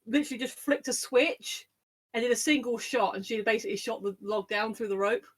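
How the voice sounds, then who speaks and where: A woman's voice: a little high, fairly quick. A woman co-hosting a podcast, explaining things in casual conversation. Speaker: podcast co-host.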